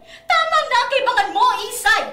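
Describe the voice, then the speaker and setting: A woman's voice: extremely high pitch, animated, moderate pace, pushed and bright, heavily acted. A woman playing a strict, bitter mother in a radio drama, scolding with intense melodramatic emotion. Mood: angry, scolding. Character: strict, bitter, anguished mother, a former athlete. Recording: roomy echo, faint music behind.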